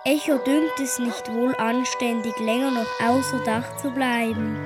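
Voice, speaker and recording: A woman's voice: on the high side, steady tone, measured pace, natural voice. A woman playing a sweet, quaint five-year-old boy with a Swiss German accent and old-fashioned diction in a radio drama. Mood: courteous, sweet. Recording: music behind the voice.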